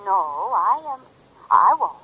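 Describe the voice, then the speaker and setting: Nervous, husky radio heroine. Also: female voice, mid-range voice, sing-song, full character voice. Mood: guarded, nervous. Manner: careful, halting. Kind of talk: 1940s radio drama acting. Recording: dull, lo-fi vintage radio recording.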